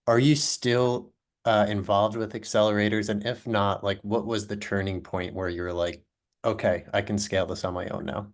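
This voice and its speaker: A man moderating a webinar panel, asking friendly, quick questions with many fillers over a video call. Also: lowish voice, little pitch movement.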